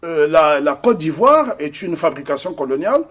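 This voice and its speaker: A man's voice: on the high side, big pitch swings, moderate pace, a bit bright, breathy edge. A man from the Republic of the Congo, speaking as an interview guest in an earnest, emphatic way with frequent hesitations. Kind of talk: interview discussion.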